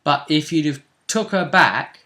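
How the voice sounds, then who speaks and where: Bright, slightly high male game master. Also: animated, a little slow. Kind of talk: casual narrated recap of a tabletop game session.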